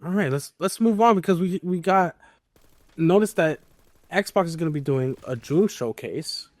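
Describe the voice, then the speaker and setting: A man's voice: on the high side, animated, moderate pace, no room sound. A man hosting a podcast and steering the topics in casual gaming news chat.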